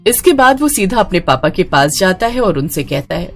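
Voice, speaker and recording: A woman's voice: on the low side, big pitch swings, normal speed, faint music behind. A woman storyteller giving dramatic scripted narration of a horror tale.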